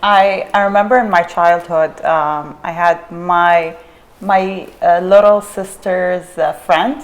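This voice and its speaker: A woman's voice: on the low side, steady tone, takes it slowly. Reflective young woman from Iraq. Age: young adult. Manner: reflective, hesitant, many fillers. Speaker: panel guest. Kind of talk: casual panel discussion.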